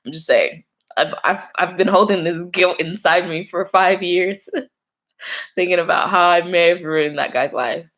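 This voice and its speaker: A woman's voice: fairly low pitch, unhurried, a bit bright. A young woman from London with a London accent, casually chatting on a live stream, laughing often as she reads out and answers viewer comments. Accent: London English. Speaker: YouTuber hosting a live stream.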